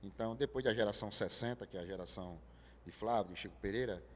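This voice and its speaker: Older man, TV interview guest, relaxed and halting, handheld mic, long studio echo. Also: lowish voice, a little hiss or hum. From Brazil, João Pessoa. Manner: easygoing, speaks in halting bursts with fillers. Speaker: guest visual artist. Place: TV studio set.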